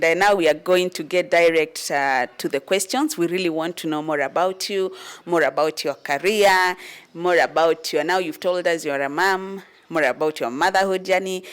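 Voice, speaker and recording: A woman's voice: on the low side, normal speed, clean tone. A woman hosting a talk show, earnestly introducing her guest and asking questions. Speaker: host.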